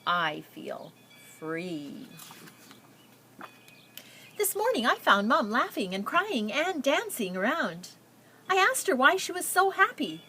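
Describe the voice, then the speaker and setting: A woman reading a picture book aloud, telling the story and pausing with simple explanatory asides for young listeners. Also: high voice, very animated, pushed and bright, slight background noise.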